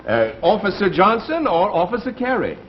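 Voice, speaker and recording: An actor playing an officious, reassuring police sergeant, brisk and formal, in a 1950s radio comedy. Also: male voice, high-pitched, big pitch swings. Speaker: supporting actor. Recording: muffled old radio recording, studio audience, hiss.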